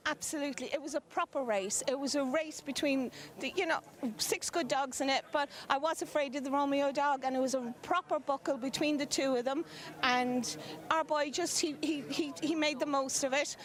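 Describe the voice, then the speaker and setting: A woman with a high voice gives a post-race interview. She speaks haltingly, repeating words as she goes. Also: fairly even intonation. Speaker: greyhound connection being interviewed.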